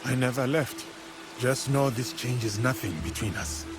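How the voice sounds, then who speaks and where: An actor playing an honorable, composed samurai warrior in a video game, speaking formally and earnestly. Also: male voice, mid-range voice, fairly even intonation, a little slow, over music, natural voice. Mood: resolute. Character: steadfast, honorable male samurai warrior, calm and loyal.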